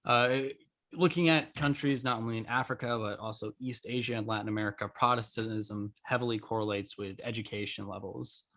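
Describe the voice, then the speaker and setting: A man hosting a podcast in casual discussion, with a halting, hesitant way of putting his points. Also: lowish voice, fairly even intonation, pushed and bright. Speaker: podcast host.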